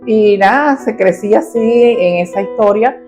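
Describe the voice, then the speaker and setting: A middle-aged Cuban woman telling her life story as an interview guest, animated and lively as she acts out the voices of her family. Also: mid-range voice, normal speed, music in the background. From Cuba.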